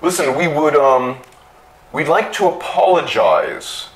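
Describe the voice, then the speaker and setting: A man's voice: a little high, very animated, slow, roomy sound, a little hiss or hum, pitched above the performer's own voice, exaggerated voice, low-quality recording. A man playing a nervous, placating male inventor who pleads hesitantly and agreeably in a story.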